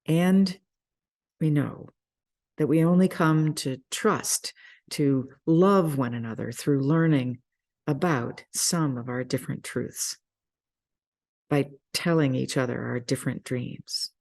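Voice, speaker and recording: A person speaking reflectively in a sermon-like style, with measured, deliberate phrasing and pauses. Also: medium pitch, creaky, a little mellow. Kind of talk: reflective spoken introduction to a story, sermon-like.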